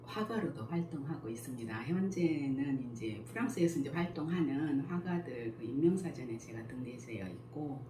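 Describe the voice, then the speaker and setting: A woman in her sixties, a school principal and picture book author, answering interview questions in a slow, modest, measured way. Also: very deep voice, lively intonation. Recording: echoey room, faint background noise.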